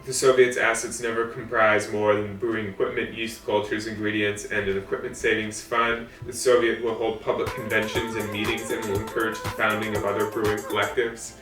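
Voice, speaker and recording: A man giving an informal history talk, reading from old documents in a halting, amused way. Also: on the low side, little pitch movement, a bit bright. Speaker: host of a co-op history series. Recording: echoey room, distant mic, faint music.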